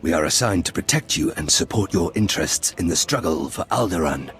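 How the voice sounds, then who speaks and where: An actor playing a dutiful, firm Sith guard in a video game, formal and respectful. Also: male voice, low voice, lively intonation, a little fast, fairly bright tone, slight background noise. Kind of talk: video game cutscene acting.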